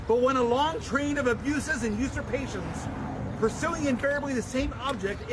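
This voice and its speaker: High-pitched man declaiming over loud outdoor noise. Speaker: public reader at a commemoration. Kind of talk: dramatic reading of a historical document. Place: outdoors at a memorial site. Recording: noisy outdoor live stream, traffic.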